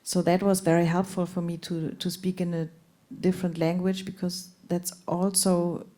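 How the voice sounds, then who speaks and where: A woman's voice: fairly low pitch, little pitch movement, normal speed, mellow. Hesitant woman actress with lots of restarts. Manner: hesitant, laughing, many restarts. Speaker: actress at a festival panel. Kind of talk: panel talk.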